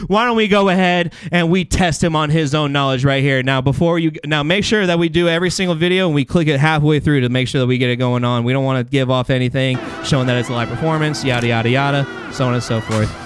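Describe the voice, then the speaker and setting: A man hosting a livestream game show in a studio with casual, slangy, excitable banter. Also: mid-range voice, quick pace, few pauses, smooth, soft background music. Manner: animated, slangy, often shouting in excitement. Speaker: show host.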